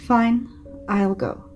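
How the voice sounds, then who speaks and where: A woman's voice: fairly high pitch, darkish tone. A woman playing a sulky teenage daughter with a murderous streak in a horror dramatic reading, casual and petulant.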